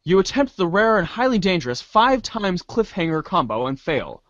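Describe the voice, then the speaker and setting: Male narrator for a comic reader's theater, highish voice, mock-grand delivery, dry and close sound. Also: very animated, normal speed, fairly bright tone, dry recording, lo-fi sound. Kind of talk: dramatic narration of a comic adventure.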